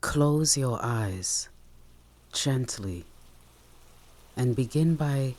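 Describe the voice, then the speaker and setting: A meditation guide speaking gently and soothingly in short phrases with long pauses, leading a guided relaxation. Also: medium pitch. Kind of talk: guided meditation, soft instructional narration. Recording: steady rain sound behind the voice. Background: rain.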